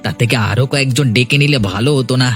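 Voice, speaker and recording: A man voicing a hapless, timid farmhand in a cartoon story, speaking in a hurried way. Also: medium pitch, faint music behind, close to an everyday voice. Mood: eager, nervous. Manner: hurried, stammering at times. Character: hapless, timid male farmhand who grumbles about work.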